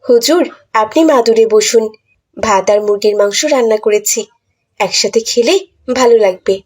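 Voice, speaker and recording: A woman's voice: a little high, moderate pace, darkish tone, slightly rough. A woman playing a hospitable, devout village wife in a moral cartoon, speaking politely and matter-of-factly. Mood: welcoming. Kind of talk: Islamic moral cartoon dialogue.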